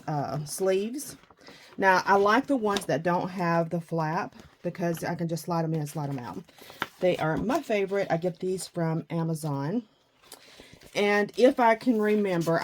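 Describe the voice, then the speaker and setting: A middle-aged woman talks through her craft supplies in an unhurried, rambling way. Her voice is husky and a little low from the early morning. She often pokes fun at herself. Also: a little mellow, slight background noise. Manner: chatty, rambling, self-deprecating. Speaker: scrapbooking vlogger. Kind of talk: casual crafting chat.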